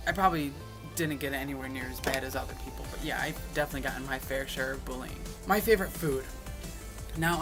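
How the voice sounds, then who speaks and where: A young man in his twenties chatting casually to camera, reading questions aloud and answering them, recorded on a phone. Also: mid-range voice. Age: 20s. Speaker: vlogger.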